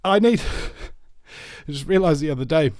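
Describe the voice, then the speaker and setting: A man's voice: on the high side, expressive, moderate pace, darkish tone, no room sound, studio quality. A man from New Zealand hosting a solo talk radio show in a rambling, jokey way. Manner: rambling, jokey, many fillers. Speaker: radio host.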